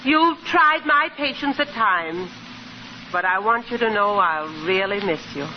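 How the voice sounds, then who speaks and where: A woman's voice: medium pitch, expressive, measured pace, natural voice. An actress playing a genteel, fussy, motherly older aunt with proper diction in a 1940s radio comedy. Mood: earnest. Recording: muffled hissy 1940s radio recording.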